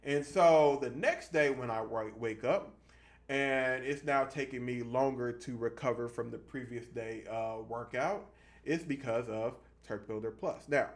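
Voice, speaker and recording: A man in his thirties giving a casual fitness vlog monologue, explaining things haltingly and repeating points for emphasis. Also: mid-range voice. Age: 30s. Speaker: fitness vlogger.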